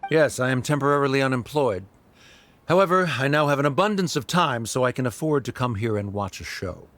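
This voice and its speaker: A man's voice: mid-range voice, lively intonation, brisk, fairly bright tone, close to an everyday voice. A man playing a stern, middle-aged scholar father in a game, speaking formally and with restraint. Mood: reserved.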